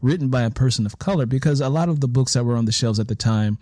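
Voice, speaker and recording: A man's voice: mid-range voice, fast talker, dark tone, dry recording, clean studio sound. A man with an American accent hosting a conversational interview and sharing his own anecdotes. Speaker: interview show host and author.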